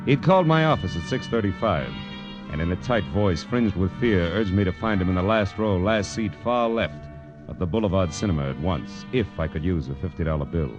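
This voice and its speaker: An American actor playing a hard-boiled, wry private detective in 1940s radio crime drama, terse and deadpan. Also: male voice, deep voice, a little fast. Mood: wry, narrating. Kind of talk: 1940s radio crime drama acting with first-person narration. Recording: muffled vintage radio recording, music underneath.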